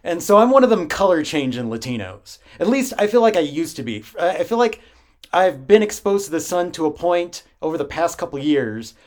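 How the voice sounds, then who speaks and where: A man's voice: fairly high pitch, big pitch swings, a little fast. A man co-hosting a podcast, talking casually and animatedly and laughing often. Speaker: podcast co-host. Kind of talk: casual chat.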